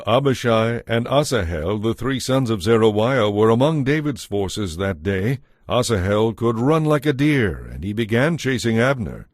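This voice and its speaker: A man's voice: medium pitch, no echo, studio quality. A man narrating a dramatized audio Bible as a scripted storyteller.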